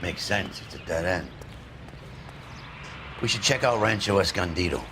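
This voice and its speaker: Casting: world-weary cop partner, very low voice, terse, over clear street noise. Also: male voice, animated, normal speed, sharp tone, full character voice. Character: gruff, cynical veteran police detective partner. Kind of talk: video game dialogue.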